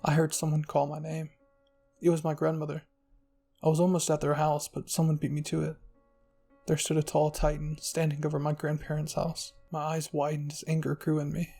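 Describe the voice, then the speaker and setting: A man narrates a fan fiction story in an ASMR roleplay style. His slightly high voice is husky and full of creak, with music playing underneath. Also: monotone, brisk, dark tone.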